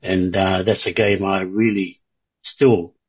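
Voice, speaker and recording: A man from Niue, introducing himself slowly and hesitantly as a board candidate, with many pauses and fillers. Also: low voice, fairly even intonation, slightly rough, dull audio.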